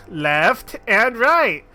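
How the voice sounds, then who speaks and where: A man's voice: sits high, very animated, drawn-out words, pushed and bright, faint music behind. A man streaming a game, chatting casually with goofy, laughing asides. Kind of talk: casual gameplay commentary.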